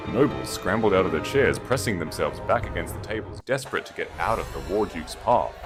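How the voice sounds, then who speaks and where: A man's voice: sits low, sing-song, a little fast, music in the background. A man reading dramatic scripted fantasy fiction as an audiobook narrator.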